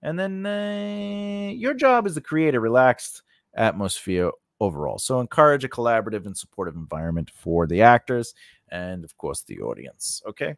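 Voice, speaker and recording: A man's voice: mid-range voice, lively intonation, moderate pace, vocal fry, no room sound, studio quality. A man teaching an informal, unscripted tutorial in a conversational way, often repeating phrases and checking in with the listener. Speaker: instructor and host of a writing lesson.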